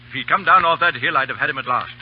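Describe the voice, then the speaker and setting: An actor playing a stern, proud British colonel in an old radio drama, with gruff, clipped military speech. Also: male voice, mid-range voice, rapid-fire, natural voice. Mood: indignant. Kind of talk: 1930s radio drama acting. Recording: muffled, noisy old radio recording with hum.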